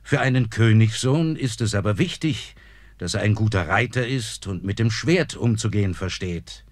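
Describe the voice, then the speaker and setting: A man's voice: lowish voice, normal speed, dry recording, natural voice. An actor playing an old, worried king who sternly scolds his son and servants. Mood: grave worry. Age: older.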